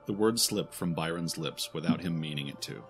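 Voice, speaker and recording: A man narrating a scripted fantasy audio drama in a solemn, deliberate way. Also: a bass voice. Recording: faint music behind the voice.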